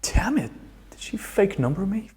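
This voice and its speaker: An actor playing an easily exasperated slacker rock musician in a sitcom, with a wry, conversational delivery. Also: male voice, high-pitched, big pitch swings, normal speed, a little mellow. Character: exasperated sitcom slacker rocker who works in a music store.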